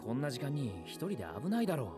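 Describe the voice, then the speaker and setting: A man's voice: medium pitch, big pitch swings, very fast, music in the background, natural voice. A man voicing a blunt, aloof but caring teenage boy in an anime. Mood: blunt, casual. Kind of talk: Japanese anime voice acting.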